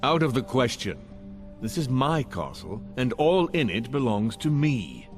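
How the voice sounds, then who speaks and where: An actor playing a haughty, shrewd dwarf baron in a video game, speaking in a formal, imperious and deliberate way. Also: male voice, medium pitch, sing-song. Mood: haughty, dismissive. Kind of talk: video game cutscene acting. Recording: game music behind the voice. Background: game music.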